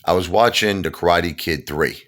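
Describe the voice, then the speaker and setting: Deep-voiced rambling Brooklyn man. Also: normal speed. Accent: New York. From Brooklyn, New York. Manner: rambling, animated storyteller with many fillers. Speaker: channel host. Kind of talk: casual chat.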